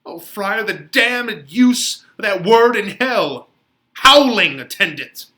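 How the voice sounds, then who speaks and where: A man acts out a young banished lover from a Shakespeare play, frantic with despair. His voice is high and swings widely, with a sharp, forceful edge. He delivers it as anguished melodrama that breaks into shouting. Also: animated, slow pace, full character voice. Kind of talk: dramatic Shakespeare reading.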